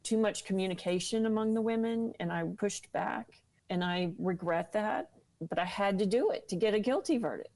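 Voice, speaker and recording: A woman's voice: medium pitch, normal speed, a little mellow. A woman speaking earnestly and seriously as an interview guest, giving personal testimony.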